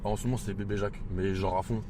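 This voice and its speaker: A man's voice: fairly low pitch, fairly even intonation, quick pace, mellow. A twenty-year-old French man chatting casually and giving his opinions in slangy speech, recorded in a car. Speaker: podcast participant and driver. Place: inside a car on the road. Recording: clearly noisy moving car.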